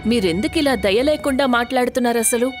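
Woman acting as indignant, high-voiced mother. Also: brisk, over music, close to an everyday voice. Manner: reproachful, protesting. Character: indignant mother defending her daughter, reproachful wife. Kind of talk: dramatized moral story voice acting.

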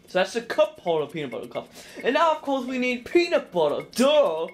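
A woman's voice: a little high, big pitch swings, a little fast, faint music behind. Rambling young woman narrating a puppet skit. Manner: rambling, self-correcting, jokey. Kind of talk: casual narration of a plush puppet cooking skit. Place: home kitchen and dining room.

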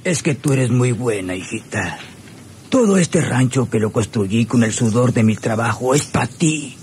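A man playing a frail, anxious old rancher father with a creaky voice and rural Mexican accent. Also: mid-range voice, animated, unhurried, darkish tone, natural voice. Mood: tender. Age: older. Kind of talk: old Spanish-language radio serial acting. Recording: noisy old radio drama recording.

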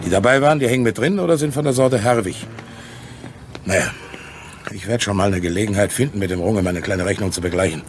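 An actor playing a self-interested company boss in a radio crime drama, patronising and conversational. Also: male voice, on the low side, fast, over music, lightly acted. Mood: calculating, patronising. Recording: faint noise, ambience behind.

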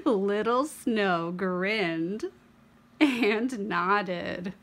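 A young woman reading a picture book aloud to children as host, expressive, with dramatic pauses and emphasis. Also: medium pitch. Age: young adult.

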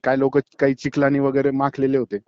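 A man's voice: mid-range voice, completely flat delivery, fairly quick, clear, resonant tone, dry recording. Marathi man on a muffled phone call. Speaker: tourist giving an eyewitness account. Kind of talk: phone interview, conversational account.

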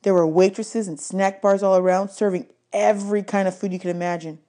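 A woman's voice: medium pitch, steady tone, fairly quick, dark tone. A woman narrating a novel aloud as an audiobook reader. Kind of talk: read-aloud story narration.

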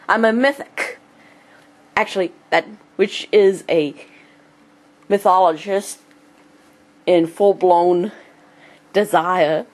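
A woman with a cold and a speech impediment, giving a casual home tour in halting, choppy phrases. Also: mid-range voice. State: has a cold, clearing throat. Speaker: amateur video blogger and hobby writer. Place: small home computer room. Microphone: handheld camera held by the speaker.

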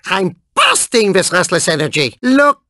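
A man playing a vain, goofy cyborg theme-park owner in a cartoon video game, theatrical and excitable, with a German accent. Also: high voice, measured pace, no room sound, clean studio sound, exaggerated voice. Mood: gleefully manic. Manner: theatrical, excitable, tagging sentences with "yeah?".

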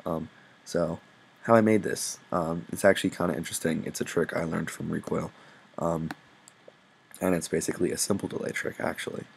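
A young man with a very low voice that crackles with vocal fry. He talks through a tutorial off the cuff, informal, sweary and self-deprecating. The recording has clear background noise with little clicks. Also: fairly even intonation, a little fast. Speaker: music production tutorial presenter. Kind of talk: casual unscripted screen-recorded tutorial.

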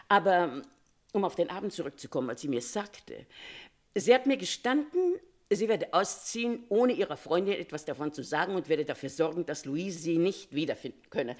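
A Paris concierge in a radio crime drama, a woman who loves to gossip. Her voice sits a little low but swings widely up and down as she confides her firm opinions. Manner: chatty, confiding, opinionated storytelling. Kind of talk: German radio crime play acting.

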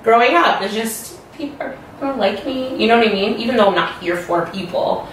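A woman's voice: on the high side, brisk. A giggly young woman chatting with friends on a couch, heard from the camera across a living room. The sound is very roomy and distant, with a faint hiss behind her. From Toronto area, Canada. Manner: giggly, chatty, many likes and you-know-what-I-means. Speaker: vlog host. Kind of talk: casual group chat. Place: dim living room, indoors.

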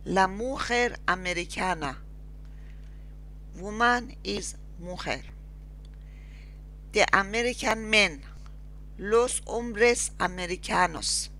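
A woman teacher with a Persian accent speaks at a very slow, careful pace, and her voice is bright and forward. She drills phrases one word at a time, with long pauses in between. A faint hum sits under the recording from her desktop mic. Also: mid-range voice. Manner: slow, deliberate, spells out each word with pauses. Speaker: Spanish teacher. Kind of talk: slow screen-recorded language lesson with repeat-after-me drills.

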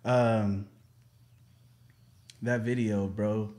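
A man hosts a relaxed interview. He asks his questions in slang and keeps answering with easy words of agreement. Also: lowish voice, extremely slow. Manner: easygoing, affirming, slangy questions. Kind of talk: casual interview chat.

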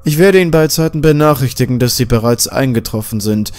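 A man's voice: mid-range voice, brisk, darkish tone, pitched above the performer's own voice, close to an everyday voice. Man acting out a curt, formal giant butler. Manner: formal, terse. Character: huge, curt, formal male castle butler.

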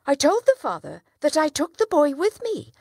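A woman's voice: high-pitched, huge pitch swings, normal speed, darkish tone, no room sound, studio quality, pitched above the performer's own voice, full character voice. A woman voicing a shrewd male village trader, speaking up earnestly and insistently.

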